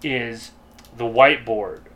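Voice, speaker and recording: A man's voice: medium pitch, slow, a bit bright. A man chatting casually as a podcast co-host, opinionated and emphatic in an exasperated way. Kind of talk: casual opinionated group chat.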